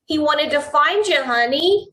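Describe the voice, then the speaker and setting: A woman's voice: high-pitched, lively intonation, a little slow, a bit bright. A woman playing a nervous, placating gangster's girlfriend in 1940s radio style. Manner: hesitant, placating. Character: nervous, pleading female gangster's moll.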